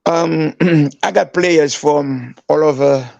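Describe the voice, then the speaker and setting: An older man, a former coach, recalls his playing days in a rough, gravelly voice that sits a little high. His English is halting, full of restarts, with phrases circled back to. The sound is dry and close. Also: darkish tone, no echo, highs cut off. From Haiti. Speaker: guest, former player and coach. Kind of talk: casual storytelling.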